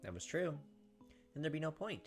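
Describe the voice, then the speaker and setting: A man's voice: a little high, sing-song, moderate pace, faint music behind. A man hosting a game playthrough, reading story narration aloud and breaking off into informal, casual commentary. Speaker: host of a game playthrough channel.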